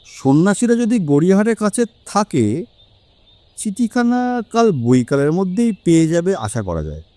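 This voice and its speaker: A man playing a confident, sharp-minded male detective in an audio story, with deliberate, emphatic phrasing. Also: high voice, big pitch swings, no echo. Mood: commanding. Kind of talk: Bengali audio story acting.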